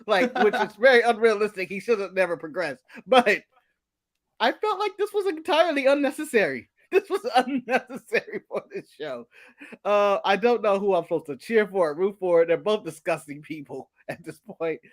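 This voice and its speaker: A man's voice: high voice, animated, moderate pace. A man co-hosting a casual wrestling recap show, ranting animatedly and laughing at his own jokes. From United States. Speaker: podcast co-host.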